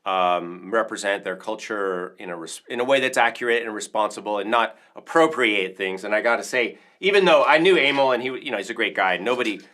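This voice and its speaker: A man's voice: on the low side, brisk, few pauses, pushed and bright. A man hosting a casual livestream as a percussion teacher, talking through instruments in an informal, rambling, enthusiastic way.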